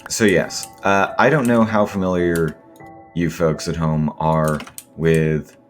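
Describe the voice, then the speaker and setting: A man with a hoarse, scratchy voice from a sore throat, rambling with many ums and self-corrections as he comments on his gameplay. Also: low voice, steady tone, slow, soft background music. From Seattle area, US. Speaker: gaming video host.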